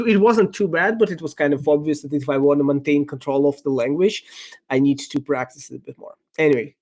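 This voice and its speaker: A man whose first language is Russian, answering interview questions in a thoughtful, halting way with many ums and restarts. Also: on the high side. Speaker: podcast guest, software engineer.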